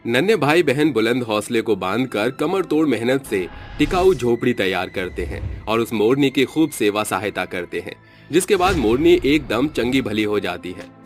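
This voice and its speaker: A man narrates a cartoon story in a steady, mid-pitched voice. He keeps the delivery smooth and flowing, as in scripted storybook narration. Soft background music runs under his words. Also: normal speed.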